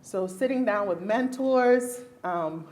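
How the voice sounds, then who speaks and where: A woman's voice: mid-range voice, slow, a little mellow. A woman from the Bahamas, a neurologist, speaking earnestly on a panel at a luncheon and laughing now and then. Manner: earnest, emphatic, laughs often. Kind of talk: panel discussion answer. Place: luncheon event hall.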